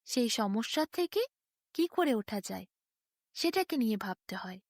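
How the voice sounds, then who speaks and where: Woman reading a bedtime story, highish voice, dramatic phrasing, really dry close sound. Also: very animated, measured pace, a little mellow, dry recording. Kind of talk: expressive bedtime story narration.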